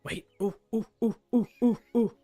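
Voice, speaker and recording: A man's voice: high-pitched, fairly even intonation, moderate pace, dark tone, soft background music. A man giving casual live commentary as a game streamer, muttering short, casually profane reactions.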